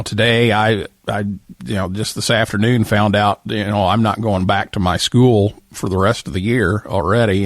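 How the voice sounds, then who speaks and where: American male host for a casual live talk show, lower register, hesitant conversational phrasing, very dry sound. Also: no echo. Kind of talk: casual live interview hosting.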